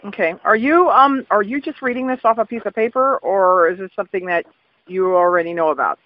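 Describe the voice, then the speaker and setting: Caller with a very high-pitched voice, casual and blunt, on dull phone audio. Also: male voice, fairly quick, no room sound. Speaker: call recipient. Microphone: telephone, dry close pickup. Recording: dull, lo-fi phone call recording.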